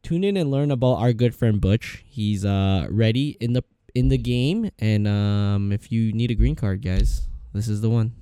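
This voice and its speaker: A man's voice: lowish voice, normal speed, hardly any pauses, dark tone, clean tone, dry recording. A young man co-hosting a podcast in loose, rambling, jokey casual chat. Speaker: podcast co-host.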